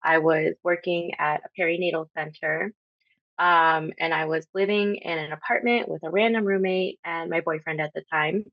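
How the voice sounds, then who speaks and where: A woman in her thirties from Honduras, a podcast guest talking casually with many pauses and ums. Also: deep voice, fairly even intonation, dry recording. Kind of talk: casual interview talk. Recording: slightly dull lo-fi audio.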